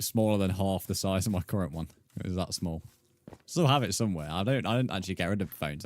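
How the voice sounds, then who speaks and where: A man's voice: low voice, slight background noise. An English man chatting casually with viewers as a live streamer, in a rambling, matey way. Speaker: video game live streamer.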